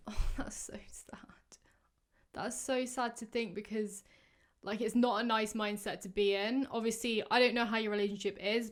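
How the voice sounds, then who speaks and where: A woman in her twenties hosting a podcast, giving casual, rambling advice full of fillers, her voice going hoarse. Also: fairly high pitch. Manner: chatty, rambling, many fillers. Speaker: podcast host. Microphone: podcast microphone.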